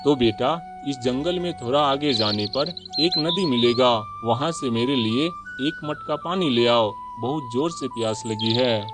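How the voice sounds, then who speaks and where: A man's voice: medium pitch, unhurried, few pauses, music in the background, close to an everyday voice. A man voicing a kindly, wise priest in a moral fable, fatherly and reassuring. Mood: requesting.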